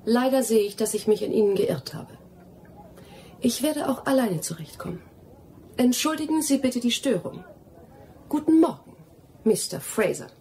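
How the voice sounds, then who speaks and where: A woman's voice: a little high, lively intonation, moderate pace, long pauses, mellow, slight background noise, natural voice. An actress in a radio play playing a self-assured young lady botanist, polite, formal and firm. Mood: curt, offended.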